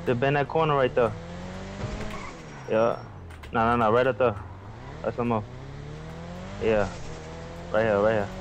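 American male gamer, mid-pitched, casual street slang, repetitive, lo-fi voice-chat sound. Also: measured pace, pauses often. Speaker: online game player. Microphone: online game voice chat. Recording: dull voice chat, faint car noise.

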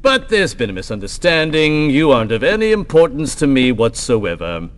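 A man's voice: medium pitch, big pitch swings, moderate pace, natural voice. A man playing a curt, disdainful vampire courtier in a video game, condescending and mock-polite.

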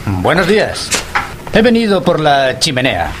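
Man playing a deferential workman, lively pitch. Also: a little slow, noisy background. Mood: apologetic. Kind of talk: Spanish-language radio drama dialogue.

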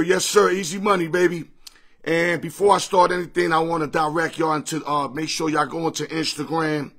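A middle-aged man with a hoarse, strained voice, hosting a casual sports picks livestream from his home, greeting viewers by name in easygoing slang. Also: a little high, a bit bright. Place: home room full of sports memorabilia with a TV. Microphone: phone or webcam at arm's length.

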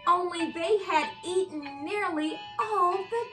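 Young lady doing a kids read-aloud, slow n expressive, music playing behind her. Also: very high voice. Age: young adult. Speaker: host and reader of children's read-aloud show. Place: indoor living room by a fireplace.

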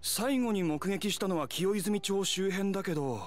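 A man's voice: high voice, normal speed, close to an everyday voice. A man playing a dutiful, officious young town knight in an anime game, speaking formally and earnestly. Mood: troubled, earnest. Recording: game music behind the voice.

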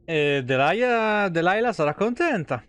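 A man's voice: sits high, lively intonation, a little slow, fairly bright tone, clean tone, dry recording. A man around his thirties, giving casual, slangy, sweary live commentary on a game. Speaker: game streamer. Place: indoor streaming room at home.

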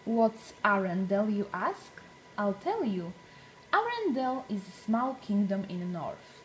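A woman reading a storybook aloud for young children, with deliberate, expressive phrasing. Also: medium pitch, soft background music, low-quality recording.

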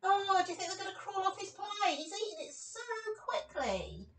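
A woman in her fifties giving an expressive storytime read-aloud for young children, with exclamations and chatty asides. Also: very high-pitched, normal speed, far from the mic. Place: small room at home, indoors.

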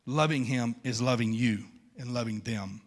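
A man's voice: mid-range voice, moderate pace. Folksy preacher in his fifties. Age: 50s. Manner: folksy, conversational, repeats phrases for emphasis. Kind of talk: informal church sermon. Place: large church stage at a wooden pulpit.

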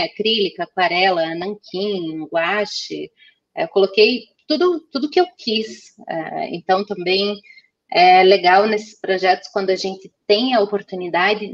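Guest designer, young woman, headset call, slightly muffled audio, chatty with lots of fillers. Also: mid-range voice. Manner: chatty, many fillers and restarts. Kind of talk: casual video-call interview.

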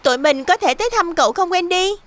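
A tiny cartoon fairy chirps in a very high voice. She is eager and pressing, a small excitable creature. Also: fast talker, a little hiss or hum. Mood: hopeful. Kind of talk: children's cartoon dubbing.

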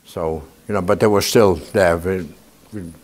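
Man in his seventies, lowish voice, chatty testimony to students, keeps checking in with listeners, indoor room, clip-on mic. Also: fairly even intonation, unhurried, darkish tone. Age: 70s. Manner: conversational, often checks in with listeners by asking "okay?". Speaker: Holocaust survivor speaking to students. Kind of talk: informal testimony talk to a student audience.

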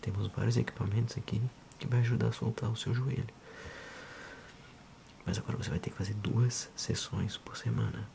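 A man in his thirties with a Brazilian accent, speaking softly and unhurriedly in an ASMR role-play as a physiotherapist addressing the listener. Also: on the low side, steady tone, gravelly, slight background noise. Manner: soft, unhurried, hushed.

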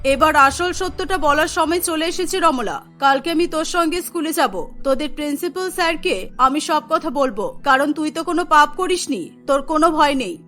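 A woman plays a devoted aunt in a cartoon melodrama. Her voice is high and sharply bright. She explains earnestly and pleads, with music playing underneath. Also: fairly quick, few pauses. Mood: reassuring, resolute. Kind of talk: dramatic cartoon voice acting.

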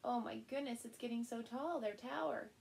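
A middle-aged woman reads a picture book aloud to small children in an expressive, playful way, recorded indoors by a camera a short distance in front of her. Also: fairly high pitch, creaky, slight background noise. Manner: animated, slow, with playful sound words and exclamations. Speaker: storyteller and channel host. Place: small furnished room indoors.

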